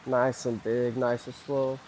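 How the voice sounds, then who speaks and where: A man leading a guided mobility flow, calmly cueing each move and breath, his voice slightly hoarse as he recovers from a cough. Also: mid-range voice, slow pace, slight background noise, poor audio. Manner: calm, gentle cueing of moves and breaths. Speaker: mobility and stretching instructor.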